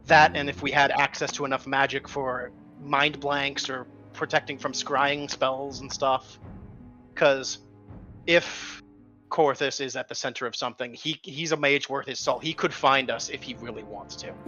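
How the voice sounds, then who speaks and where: A man's voice: medium pitch, fairly even intonation, moderate pace, pushed and bright, highs cut off, faint music behind. A man talking at length as a tabletop game player, casually arguing out strategy with some mild swearing. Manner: talkative, argues points at length, mild swearing.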